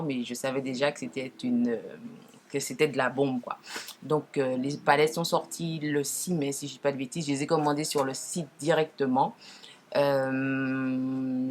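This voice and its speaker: A woman with a naturally deep voice, lowered further by a cold, chats casually to viewers as she presents makeup, often correcting herself. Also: slight background noise. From Martinique. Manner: informal, frequent self-corrections. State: deeper, hoarse-sounding from a cold. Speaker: makeup tutorial host.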